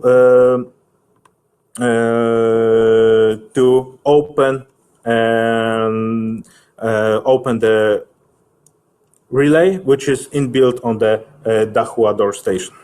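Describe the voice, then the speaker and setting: A man answers questions in a technical webinar. He speaks very slowly at a medium pitch. His delivery is unscripted and hesitant, with frequent searching for the next word. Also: a little mellow, clean tone. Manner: halting, hesitant, searching for words.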